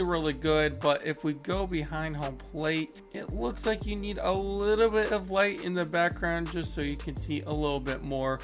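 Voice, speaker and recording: Upbeat young man touring game stadiums. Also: fairly high pitch. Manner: upbeat, enthusiastic, praising. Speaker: gaming video creator. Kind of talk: casual game commentary. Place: small indoor room, webcam corner.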